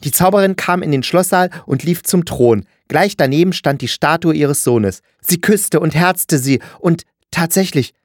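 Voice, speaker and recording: Higher-pitched male fairy-tale narrator. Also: normal speed, hardly any pauses, no echo, clean studio sound.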